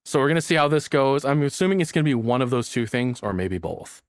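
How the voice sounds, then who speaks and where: A man's voice: medium pitch, expressive, quick pace, no echo, studio quality. A man reacting to a video as a streamer, chatting casually and swearing freely.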